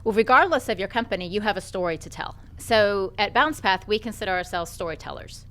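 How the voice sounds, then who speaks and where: Smooth-voiced polished businesswoman. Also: medium pitch, brisk, bright, forceful tone. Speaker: guest, marketing and PR executive. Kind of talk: radio interview.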